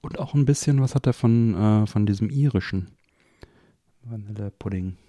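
A man's voice: lowish voice, moderate pace, mellow, no room sound, studio quality. A man hosting a podcast in a casual, chatty style. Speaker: podcast host. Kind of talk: casual chat.

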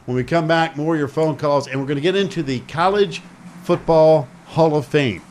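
A man's voice: fairly high pitch, moderate pace, a little mellow, a little hiss or hum. A man hosting a sports talk radio show, chatting with a co-host and taking phone calls in an emphatic, repetitive way. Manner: chatty, emphatic, repeats himself.

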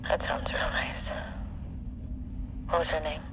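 An actress playing a resigned, gently consoling crew member in an audio drama, speaking softly and wearily through a radio filter. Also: female voice, takes it slowly, whispered. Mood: tender. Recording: muffled radio link under loud music.

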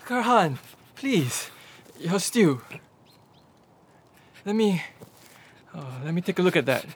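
Slow-spoken, resigned bandit's slave. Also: male voice, high voice, sing-song, husky, full character voice. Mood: anxious, placating. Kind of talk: audio drama acting.

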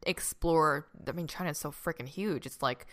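A woman's voice: sits low, moderate pace, a bit bright. A young woman co-hosting a podcast in casual, reflective chat, often saying "like" and "you know". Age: young adult. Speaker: podcast co-host.

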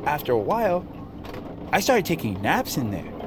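A man's voice: a little high, wildly swooping pitch, moderate pace, noisy background. An actor playing a casual, fearless coworker, chummy and earnest as he tells stories in an audio drama. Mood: nostalgic. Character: casual, fearless coworker confiding a childhood story. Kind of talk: audio drama dialogue, storytelling. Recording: music bed under voice.

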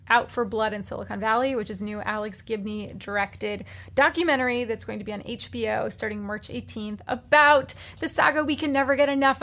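A woman podcast host giving a chatty, animated recommendation with plenty of fillers. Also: fairly high pitch. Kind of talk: casual chat, recommendation segment.

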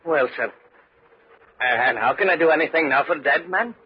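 A man's voice: fairly high pitch, lively intonation, moderate pace, natural voice. An actor playing a humble, uneasy tenant farmer who tells his tale in earnest, folksy 1940s radio style. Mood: pleading, reluctant. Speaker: narrator and lead character. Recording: muffled, noisy 1940s radio recording.

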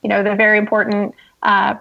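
A woman's voice: medium pitch, monotone, a little fast, creaky, highs cut off. A woman guest journalist giving conversational, analytical political commentary in an explanatory way.

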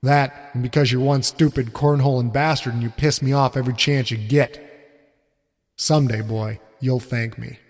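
A man voicing a harsh, abusive father who lectures in a gruff, contemptuous way. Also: medium pitch, steady tone, normal speed, darkish tone, long reverb tail, close to an everyday voice.

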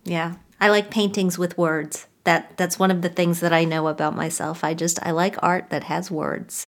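A woman's voice: lowish voice, little pitch movement, normal speed. A woman co-hosting a podcast, chatting casually and reflectively with fillers and restarts. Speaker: podcast co-host. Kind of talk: casual personal chat.

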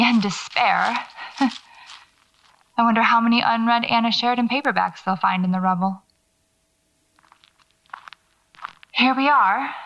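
A woman's voice: medium pitch, creaky, fairly bright tone. A woman acting in an audio drama as a wry horror novelist who records understated, musing field notes to herself while out alone at night. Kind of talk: naturalistic audio fiction monologue, spoken field notes. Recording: dull lo-fi cassette-style tape.

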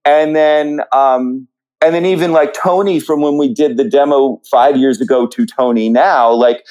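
A man reflecting in an interview as a theatre writer, speaking haltingly and pausing often mid-thought. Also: mid-range voice, steady tone, a little mellow, lo-fi sound. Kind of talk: reflective panel interview talk.

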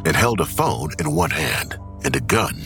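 An actor narrating a dark suspense thriller in a scripted audio drama. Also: male voice, medium pitch, sing-song, normal speed, gravelly, soft background music, exaggerated voice. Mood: suspenseful.

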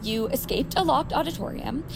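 A woman's voice: high-pitched, lively intonation, normal speed, creaky, background noise. A woman playing a scornful, accusing teenage mean girl in an audio drama, snide and confrontational. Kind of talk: audio fiction drama acting.

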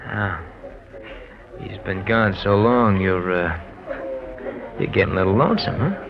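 An American actor playing a smooth-talking, brash professional gambler in 1940s radio drama, with a slangy, cajoling delivery. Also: male voice, low voice, measured pace, over music. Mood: coaxing. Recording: dull, lo-fi old radio recording.

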